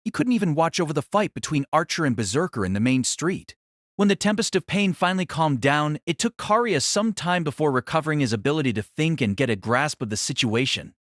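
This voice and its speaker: A man's voice: on the high side, expressive, fairly quick, fairly bright tone, no echo, clean studio sound. A man narrating an audio drama in a dramatic, scripted style that rises and falls with the action.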